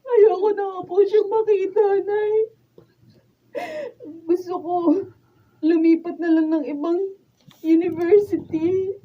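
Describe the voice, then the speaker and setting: A woman's voice: very high-pitched, fairly even intonation, slow, lo-fi sound. A woman playing a hurt, betrayed young student daughter in a Filipino radio drama, with an emotional, melodramatic delivery. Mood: sorrowful, confiding. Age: young adult.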